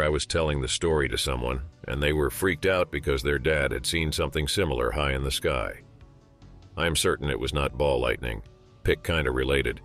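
A man calmly reading out a story. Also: rumbling low. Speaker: narrator. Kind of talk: scripted narration of a reader story.

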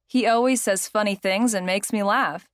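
A fond young woman played by an actress chats in scripted course dialogue. Her pitch is medium, and the studio sound is dry. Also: moderate pace, no room sound, close to an everyday voice.

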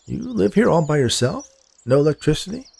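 Man acting a gravelly, smooth-talking land buyer. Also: on the high side, fairly quick, voice pushed up, heavily acted. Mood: sympathetic, firm. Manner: calm, reasonable, folksy. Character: smooth-talking, polite male land buyer, disarming and persistent.

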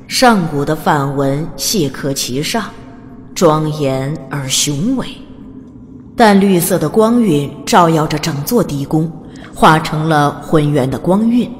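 Low-voiced woman narrating a fantasy audiobook. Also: slight background noise. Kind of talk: dramatic scripted fantasy narration. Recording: long reverb on the voice. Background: faint music.

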